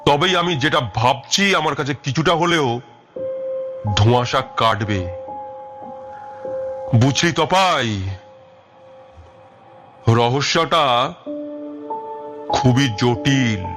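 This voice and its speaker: An actor playing a brooding, resolute detective in an audio story, speaking in a deliberate, dramatic way. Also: male voice, medium pitch, animated. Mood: pensive. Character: brooding, resolute male detective, shrewd leader. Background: music.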